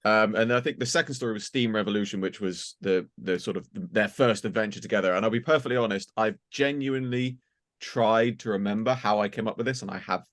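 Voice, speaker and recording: A man's voice: lowish voice, brisk, a bit bright, no echo, studio quality. A man chatting casually as a panel member about his creative work. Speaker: new panel member, audio drama creator. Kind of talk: casual chat.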